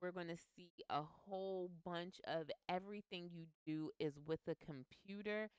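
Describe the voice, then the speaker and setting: Woman, co-host, outspoken, playful, clear smooth voice, dry sound. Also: fairly low pitch, normal speed, dry recording. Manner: outspoken, playful, animated slang. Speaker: co-host, business teacher. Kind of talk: casual couple chat.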